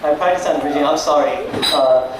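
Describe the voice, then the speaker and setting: A man's voice: on the high side, fast talker. A young man telling stand-up stories at an open mic in a hesitant, self-deprecating way. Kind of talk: stand-up storytelling to an audience. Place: nightclub stage at an open mic. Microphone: picked up from a distance in the room. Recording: echoey nightclub, far mic, noisy crowd.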